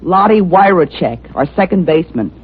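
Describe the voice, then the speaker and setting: An actress playing a tough, brash young softball player in old radio drama style, blunt, slangy and bossy. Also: female voice, lowish voice, lively intonation, normal speed, slightly rough. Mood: brash, insistent. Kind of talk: 1940s-50s radio detective drama acting. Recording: dull old radio recording.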